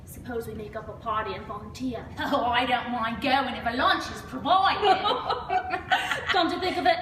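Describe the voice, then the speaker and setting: A woman's voice: fairly high pitch, a little slow, fairly bright tone, a little hiss or hum. A woman playing a callous, mocking gossip in a radio drama, exclamatory in delivery. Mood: callous amusement. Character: callous, gossiping woman haggling over a dead man's things. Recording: distant, echoey, faint music behind.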